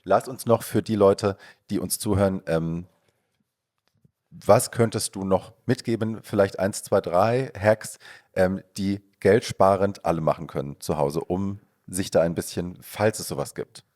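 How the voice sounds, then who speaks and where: A man with a very low voice hosts a casual podcast. He is slightly hoarse from a cold and rambles through his questions with many fillers. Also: a little slow, darkish tone. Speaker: podcast host.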